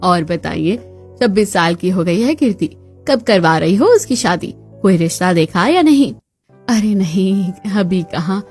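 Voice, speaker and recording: A woman voicing expressive cartoon family women over music. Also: fairly low pitch, slightly rough. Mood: worried, gossipy. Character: young unmarried daughter and her chatty women relatives, expressive. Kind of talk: Hindi cartoon story dialogue. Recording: music bed behind the voice.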